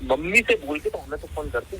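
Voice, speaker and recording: A man's voice: a little high, lively intonation, quick pace, bright, forceful tone, breathy edge. A man in a family argument over a recorded phone call, explaining things repetitively in a placating way. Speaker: brother-in-law.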